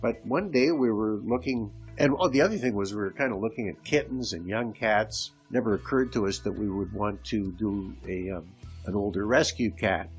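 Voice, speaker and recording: Congested, slightly hoarse man hosting a podcast. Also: fairly low pitch, moderate pace, faint music behind, poor audio. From Canada. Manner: conversational storytelling, with restarts. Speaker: podcast host. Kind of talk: casual solo podcast monologue. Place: at home. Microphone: old home microphone with hiss.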